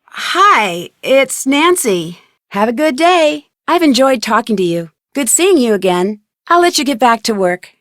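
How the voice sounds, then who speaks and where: An actress playing a polite, inquisitive young woman detective in a video game, speaking in a clear, friendly, conversational way. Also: fairly high pitch, sing-song, measured pace, a bit bright, dry recording, clean studio sound. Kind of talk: video game dialogue acting, isolated conversational lines.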